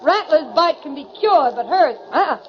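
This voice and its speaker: High-voiced, tough cowgirl. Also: expressive, moderate pace, crowd noise. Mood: wry. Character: tough, sharp-tongued female rodeo rider. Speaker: actress in a radio drama. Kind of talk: 1940s radio acting. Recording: dull, noisy 1940s radio recording.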